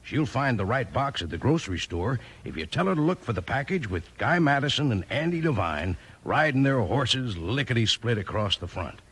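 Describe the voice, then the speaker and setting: A man's voice: mid-range voice, big pitch swings, normal speed, hardly any pauses, fairly bright tone, husky. A man delivering a 1950s radio commercial in folksy cowboy sales patter. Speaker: commercial announcer. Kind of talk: 1950s radio advertisement pitch.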